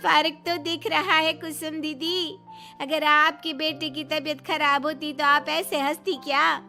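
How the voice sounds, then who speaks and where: A woman's voice: high-pitched, flat delivery, a little slow, bright, forceful tone, faint music behind, full character voice. A woman voicing an offended middle-aged relative in a dramatised story, speaking with wounded reproach. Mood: bitter, reproachful. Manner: bitter, reproachful. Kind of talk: dramatised Hindi audio story.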